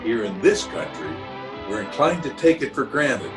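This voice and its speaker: A man's voice: mid-range voice, very animated. A man playing a blustery, genial middle-aged small-town uncle in a pompous, theatrical 1940s radio comedy style. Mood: earnest. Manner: pompous, theatrical, with drawn-out hesitations and chuckles. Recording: music behind the voice, remote home recording.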